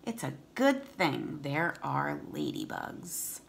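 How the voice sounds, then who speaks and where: Low-pitched woman reading aloud. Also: huge pitch swings, slow, vocal fry, slight background noise. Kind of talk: children's read-aloud with casual chat. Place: room at home.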